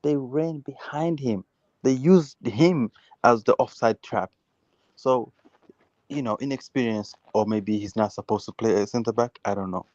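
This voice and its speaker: A man's voice: medium pitch, unhurried, darkish tone, dry recording, highs cut off. A man from Uganda hosting a heated casual football debate, insistently repeating words and restarting his sentences.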